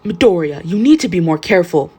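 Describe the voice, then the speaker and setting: A woman's voice: on the low side, lively intonation, fast talker, dark tone, husky. Woman doing uptight protective teen boy, formal n earnest, story dialogue. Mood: worried, earnest. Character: tense, protective, formal teenage boy classmate.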